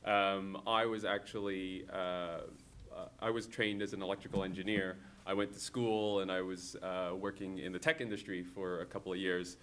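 A comics creator in his thirties gives a talk to an audience in a somewhat low voice. He is from Hong Kong. He often pauses with fillers and restarts his sentences. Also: level tone, a bit bright, a little hiss or hum. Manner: hesitant, many fillers and restarts.

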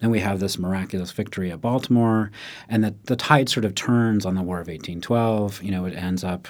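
A man explaining music history in relaxed conversation, often checking in with "right?" and acting out quoted speech. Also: on the low side, fairly quick. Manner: animated, acts out quotes in raised voice, frequent "right?".

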